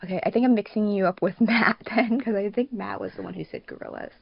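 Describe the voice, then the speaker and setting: A woman's voice: medium pitch, quick pace, gravelly, husky, no room sound. Chatty woman hosting a casual podcast, says like a lot, dry kinda muffled lofi audio. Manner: chatty, frequent "like" as filler. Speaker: podcast host and fandub producer. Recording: dull, lo-fi voice recording.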